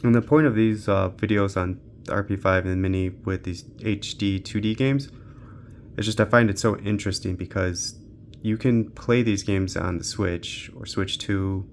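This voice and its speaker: A man with a somewhat deep voice full of vocal fry gives a casual, unscripted gaming walkthrough. He thinks aloud informally as he goes. There is noticeable noise behind his voice. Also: fairly even intonation, normal speed. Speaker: tech reviewer hosting a handheld gaming channel. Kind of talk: unscripted casual walkthrough commentary while testing games.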